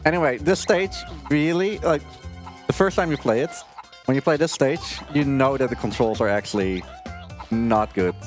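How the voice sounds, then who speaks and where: A man giving casual, rambling live commentary as a speedrunner, halting at times while he plays. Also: medium pitch, soft background music. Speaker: speedrunner commentating own run.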